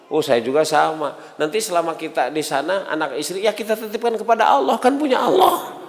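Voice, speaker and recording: A man's voice: high-pitched, lively intonation, a little fast. A man with a Sundanese accent preaching an Islamic sermon conversationally, with rhetorical questions and acted-out dialogue. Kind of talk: sermon to a congregation. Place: large mosque hall. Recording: reverberant mosque hall.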